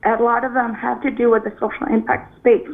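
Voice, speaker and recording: A young woman calls in on a thin, phone-like line. She sounds jittery, with lots of restarts and apologies. Her voice sits fairly high. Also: flat delivery. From Venezuela. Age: 20s. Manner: nervous, apologetic, halting. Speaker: caller.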